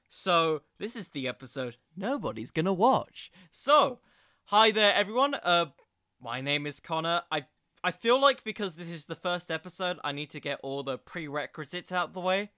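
A man's voice: high-pitched, bright, forceful tone, dry recording, studio quality. A young man hosting an informal solo podcast, rambling along in a jokey, self-deprecating way. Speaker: podcast host.

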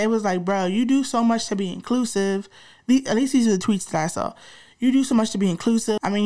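A woman's voice: medium pitch, a little mellow. Congested chatty woman podcast host. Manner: chatty, slangy, addresses listeners directly. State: congested, slightly nasal from a cold. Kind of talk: casual podcast chat.

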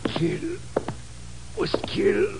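An actor playing a dying, vengeful villain in a creaky, gasping whisper in a radio drama. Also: male voice, drawn-out words, poor audio. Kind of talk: 1950s radio drama acting.

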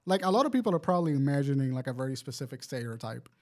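A man's voice: medium pitch, sing-song, rapid-fire, darkish tone. An American man co-hosting a comedy podcast, ranting casually in a blunt, sweary, emphatic way. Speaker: podcast host.

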